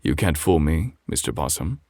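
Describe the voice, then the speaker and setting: Man voicing a very deep, grumpy storybook bear. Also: very animated, normal speed, gravelly, a little mellow, dry recording, studio quality, full character voice. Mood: stern, scolding. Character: grumpy male bear, stern and indignant when crossed. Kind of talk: bedtime storybook character.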